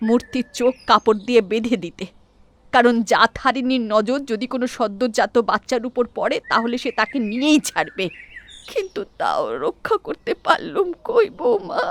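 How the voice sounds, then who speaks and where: A woman's voice: fairly high pitch, a little hiss or hum. An actress voicing an older village mother-in-law who grievingly tells of a cursed idol and warns her daughter-in-law. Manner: storytelling, grieving, warning.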